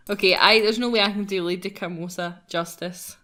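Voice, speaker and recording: A woman's voice: medium pitch, steady tone, normal speed, sharp tone. A woman chatting casually as a let's play host, bantering over a game.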